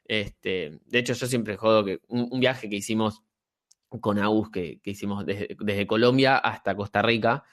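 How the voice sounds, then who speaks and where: A man's voice: on the low side, steady tone, moderate pace. An Argentine man hosts a podcast conversation in a loose, casual way. He stumbles through fillers and repeats words as he gathers his thoughts. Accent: Argentine Spanish. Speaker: podcast host. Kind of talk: conversational interview.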